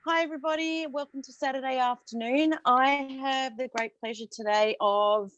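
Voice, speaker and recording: A woman's voice: high voice, steady tone, normal speed, fairly bright tone, lo-fi sound, a little hiss or hum. A woman hosting a casual live-streamed video interview, upbeat and friendly as she welcomes her guest, with a few fillers. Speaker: host and interviewer. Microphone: live-streamed video call.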